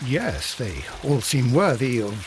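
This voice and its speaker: An actor playing a loyal, polite Victorian gentleman, a detective's inquiring companion, in a video game. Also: medium pitch, wildly swooping pitch, a little slow, background noise. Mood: patient, mild. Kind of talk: scripted video game acting.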